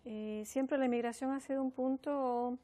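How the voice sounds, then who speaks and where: A woman's voice: high voice, steady tone, moderate pace, mellow. A woman from the Republic, answering reflectively as an academic interview guest, often adding tag questions. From Dominican Republic, raised also in New York. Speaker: sociology professor, interview guest.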